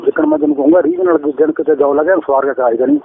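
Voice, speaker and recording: A middle-aged man with an Indian Punjabi accent on a tinny, hissy phone line. His high-set voice swoops around as he rambles insistently, repeating himself in private conversation. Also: very animated, rapid-fire, creaky, slightly rough. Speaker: caller, father-in-law. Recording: noisy, tinny recorded phone call.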